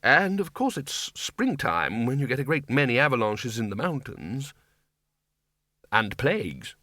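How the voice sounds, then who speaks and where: A gloomy old wizard lecturer, as a man puts him on, musing slowly with a pitch that rises and falls. The voice sounds bright and close. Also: sing-song, dry recording, heavily acted.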